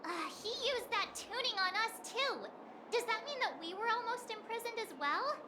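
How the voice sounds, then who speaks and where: An actress playing an inquisitive, earnest young girl adventurer in a video game, quick to exclaim and question. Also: very high-pitched, lively intonation, very noisy. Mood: surprised.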